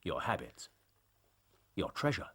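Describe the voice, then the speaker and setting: An actor playing a shrewd, courteous English private detective in a period radio drama, speaking precisely with dry self-assurance. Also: male voice, fairly bright tone. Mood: courteous, assured. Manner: precise, measured, dryly courteous.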